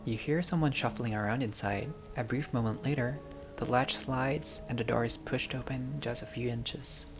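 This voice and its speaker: A game streamer with a mid-pitched voice reads visual novel narration aloud over clearly audible music. The delivery is expressive, slipping between storytelling and casual, chatty commentary. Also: normal speed. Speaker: gaming content creator reading a visual novel aloud. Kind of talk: playthrough narration with casual reactions. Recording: music behind the voice.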